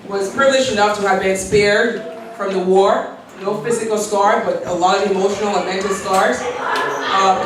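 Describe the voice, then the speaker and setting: A woman's voice: mid-range voice, background noise. A woman in her forties, from Liberia, giving a keynote speech as a personal story with an earnest, storytelling manner. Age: 40s. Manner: earnest, storytelling, with quoted prayers and light laughs. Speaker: keynote speaker. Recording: very echoey hall, voice from far off.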